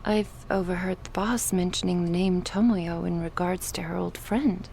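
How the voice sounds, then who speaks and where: A woman acting confrontational women in a vampire crime syndicate roleplay, speaking deliberately in a dramatic audio style. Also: fairly low pitch, steady tone, vocal fry, darkish tone, slight background noise. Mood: brooding.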